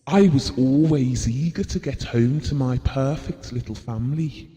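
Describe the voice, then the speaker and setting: A man's voice: mid-range voice, measured pace, warm tone, close to an everyday voice. An actor playing an earnest, devoted, guilt-prone middle-aged British husband and father, reminiscing confessionally in an audio drama. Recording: reverberant hall-like room.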